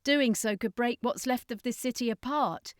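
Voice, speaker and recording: A woman's voice: fairly high pitch, moderate pace, dry recording, clean studio sound, voice pushed up, natural voice. A woman voicing a grim female magician in an adventure story, bleak yet resolute. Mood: warning. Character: grim, pessimistic female magician in a space circus.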